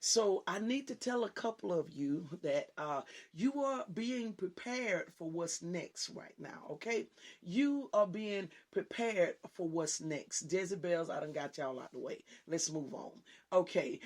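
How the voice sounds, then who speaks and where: Woman minister hosting her own show: raspy, husky voice, highly animated pitch, preaches with fervour and talks straight to her listeners. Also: a little slow. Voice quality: raspy, deep-sounding voice often taken for a man's on the phone. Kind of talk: impassioned preaching on a talk show.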